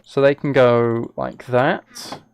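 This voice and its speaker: A man with a noticeably creaky, gravelly voice at an average male pitch streams a game live. He talks casually to his viewers and thinks out loud as he plays. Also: fairly even intonation, slow pace, slight background noise. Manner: thinks aloud, chatting with viewers.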